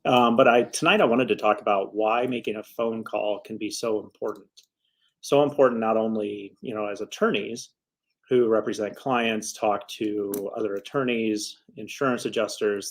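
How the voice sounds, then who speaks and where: A man speaking as an attorney in a casual, conversational advice vlog, earnest and a little rambling in his phrasing. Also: fairly low pitch. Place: office.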